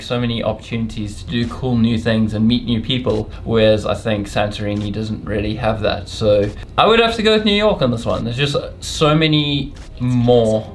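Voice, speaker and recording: A young man, a vlogger, chatting casually and rambling a little as he answers viewer questions with his girlfriend. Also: mid-range voice. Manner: relaxed, rambling, laughs often. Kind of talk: casual couple Q&A.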